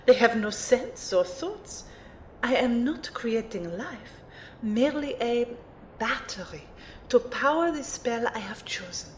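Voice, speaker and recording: A woman's voice: lively intonation, takes it slowly. A woman playing a refined, playful magic mentor in an audio drama, formal and precise as she teases and lectures. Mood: instructive. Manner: formal, precise, teacherly phrasing. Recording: roomy amateur recording, faint rumbling noise.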